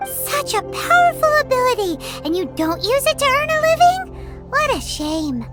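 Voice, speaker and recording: A woman's voice: very high voice, sing-song, moderate pace. A woman voicing a tiny, chirpy, cheeky childlike fairy companion in a video game. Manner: refers to self by name, chirpy and childish. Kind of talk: video game character acting. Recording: game dialogue over loud music.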